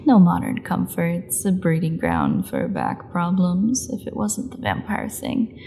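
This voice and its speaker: A woman playing a defiant captive vampire in an audio drama, snarky, mocking and bitterly flippant, with a gravelly voice. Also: lowish voice, huge pitch swings, unhurried, mellow, exaggerated voice. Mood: bitter sarcasm. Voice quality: gravelly, creaky voice. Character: defiant, sarcastic captive female vampire under interrogation. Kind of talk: dramatic audio roleplay monologue.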